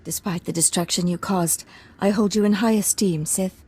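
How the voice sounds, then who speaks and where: A woman's voice: mid-range voice, fairly even intonation, normal speed, darkish tone, slightly rough. Proud, refined noble lady. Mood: composed, earnest. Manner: formal, earnest. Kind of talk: video game character acting.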